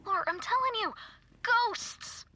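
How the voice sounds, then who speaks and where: A woman voicing an excitable young true-crime podcaster in a video game, with a raspy voice and theatrical, breathless delivery. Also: very high voice, takes it slowly, full character voice. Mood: excited. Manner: theatrical, breathless dramatic narration.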